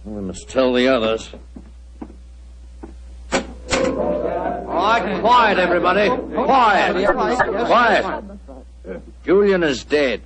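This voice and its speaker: A man's voice: high-pitched, very animated, extremely slow, pauses often, over a noisy crowd, exaggerated voice, rough recording. A man acting a stern, suspicious secret-club boss, curt and commanding, in a vintage 1940s radio drama.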